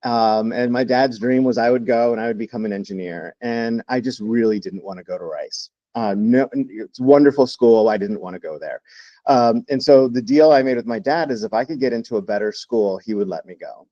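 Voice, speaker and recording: Mellow, muffled man telling his story. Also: mid-range voice, a little fast, dry recording. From Texas, United States. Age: 50s. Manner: relaxed, reflective, many fillers. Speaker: guest. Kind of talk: interview storytelling.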